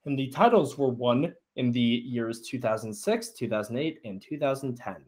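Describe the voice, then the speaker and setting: A man's voice: mid-range voice, normal speed, darkish tone. Upbeat man hosting a casual quiz. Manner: upbeat, encouraging, gives clues. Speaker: quiz host.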